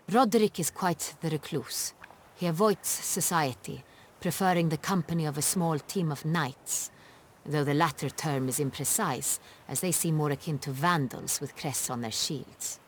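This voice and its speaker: A woman playing a poised, earnest noble ruler in a fantasy video game, with formal and measured phrasing. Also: low-pitched, a little hiss or hum, lightly acted. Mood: composed. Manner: formal, measured, precise wording. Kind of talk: video game dialogue acting.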